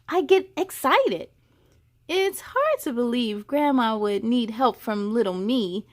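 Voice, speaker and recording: A woman hosting a children's story time, reading aloud expressively with encouraging, chatty asides to young viewers. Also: high voice. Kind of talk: animated children's read-aloud.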